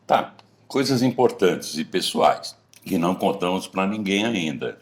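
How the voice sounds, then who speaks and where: A blunt middle-aged ringleader played by a man in a radio play, goading his old friends. His voice is very low, with a lively swing in intonation. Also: measured pace. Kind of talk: radio drama acting.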